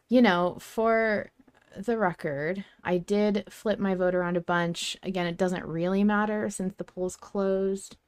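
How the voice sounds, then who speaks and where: A woman's voice: lowish voice, no room sound. A woman hosting a podcast in a casual, conversational discussion style. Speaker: podcast host.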